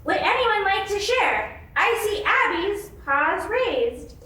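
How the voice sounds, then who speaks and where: A woman's voice: very high voice, very animated, slow pace, bright, forceful tone, full character voice. A woman voicing an orderly beagle sock puppet who formally chairs a meeting in a live show. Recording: very echoey room, distant mic.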